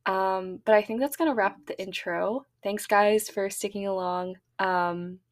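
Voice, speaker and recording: A woman's voice: mid-range voice, fairly even intonation, a little slow. Chatty young woman hosting a podcast. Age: young adult. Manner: chatty, filler-heavy, upbeat. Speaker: podcast host. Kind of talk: casual podcast monologue.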